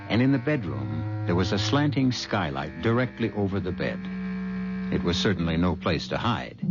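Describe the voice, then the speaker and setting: A man hosting and narrating a 1970s radio mystery in a measured, ominous storytelling style. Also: low-pitched, vocal fry. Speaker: show host and narrator. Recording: dull vintage radio with music.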